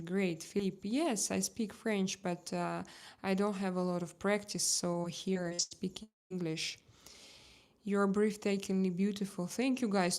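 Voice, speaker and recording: A woman from Russia with a Russian accent, a native Russian speaker, chatting casually as a live stream host in halting English and often searching for words. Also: mid-range voice, darkish tone.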